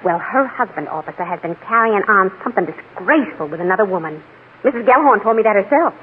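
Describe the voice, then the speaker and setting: An actress playing a gossipy, middle-aged American housewife, garrulous and self-important, in 1940s radio drama style. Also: female voice, mid-range voice, expressive, fast, slight background noise, natural voice. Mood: gossipy, insinuating. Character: gossipy, nosy, fluttery housewife and eager neighbourhood witness. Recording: muffled, narrow-band old radio recording.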